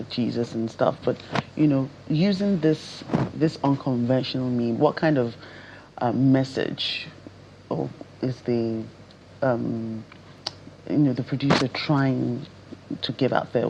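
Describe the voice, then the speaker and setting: Creaky woman interviewer. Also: rumbling low. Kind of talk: casual interview questioning. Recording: dull sound, noticeable background noise.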